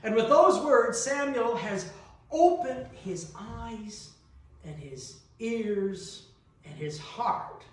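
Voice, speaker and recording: A middle-aged man preaching a reflective sermon, deliberate in delivery, with long pauses and rhetorical questions. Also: extremely high pitch, animated. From New Brunswick, Canada. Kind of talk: reflective sermon with personal anecdotes. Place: large reverberant church interior. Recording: echoey church, picked up from a distance.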